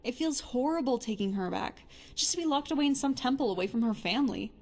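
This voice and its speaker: A woman's voice: a little high, animated, fast talker, a little mellow, soft background music. Woman playing a tough, sardonic adventurer companion. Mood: sad, uneasy. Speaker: voiced follower in a game mod. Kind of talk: fantasy video game character dialogue.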